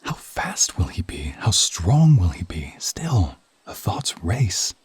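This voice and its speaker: A man narrating a scripted ASMR story in a dramatic, hushed manner that often drops into a whisper. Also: sits low, very animated, slow pace, husky, no echo. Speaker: narrator of an audio roleplay.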